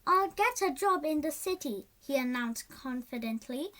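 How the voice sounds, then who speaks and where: A child reading a storybook aloud in a halting way, stumbling over words and repeating them. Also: high-pitched, animated, sharp tone.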